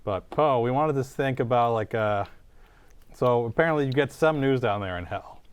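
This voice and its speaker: A man's voice: medium pitch, moderate pace, smooth, no echo. A man hosting an improvised comedy interview in an informal, joking, rambling way. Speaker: host.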